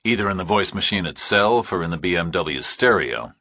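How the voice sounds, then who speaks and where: A man's voice: sits low, expressive, brisk, pushed and bright, breathy edge, no echo, studio quality. A man reading scripted thriller narration as an audiobook narrator.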